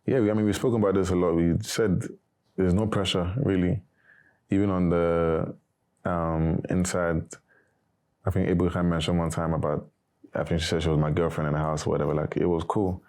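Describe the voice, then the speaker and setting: Man, young adult, very deep voice, monotone, casual interview answers. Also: brisk. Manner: monotone, not very animated. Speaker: evicted reality show contestant.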